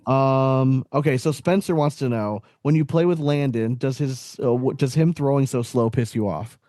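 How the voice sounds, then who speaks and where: A man's voice: medium pitch, normal speed, warm tone, dry recording, clean studio sound. A man hosting a casual interview, chatty and informal, leaning on slangy filler phrases. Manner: chatty, repeats "like" and "you know what I mean". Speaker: podcast host.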